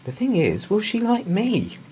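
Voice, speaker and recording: A man's voice: high voice, huge pitch swings, a little slow, warm tone, a little hiss or hum, voice pushed up, heavily acted. A man voicing a polite, earnest young bear in a storybook. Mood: nervous, polite.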